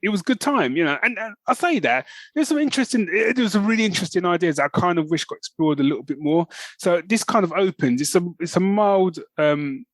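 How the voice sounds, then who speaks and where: A man's voice: high voice, lively intonation, quick pace, hardly any pauses, no room sound. A middle-aged British man co-hosting a podcast in an informal, chatty way, peppering his talk with matey asides. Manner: informal, often says man and you know. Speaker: podcast co-host. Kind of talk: casual chat.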